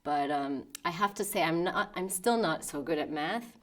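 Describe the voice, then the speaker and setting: A woman's voice: low voice, moderate pace, distant mic. A woman in her thirties from New York with an American accent, talking thoughtfully about her life as a pianist in a documentary interview. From New York, United States. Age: 30s. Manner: thoughtful, with soft laughs and restarts.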